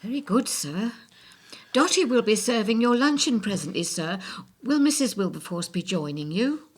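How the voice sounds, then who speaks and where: Husky, brisk housekeeper. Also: female voice, on the high side, lively intonation. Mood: brisk, dutiful. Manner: formal, deferential, businesslike. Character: brisk, dutiful 1820s English housekeeper. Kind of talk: period audio play acting.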